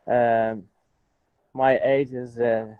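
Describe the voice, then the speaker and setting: A man in his thirties with an Iraqi Arabic accent speaks at a middle pitch. His phrasing is halting, and the video call sounds dry and dull. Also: flat delivery, clean tone, no room sound. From Iraq. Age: 30s. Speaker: student, health institute lecturer. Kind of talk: halting self-introduction in a second language.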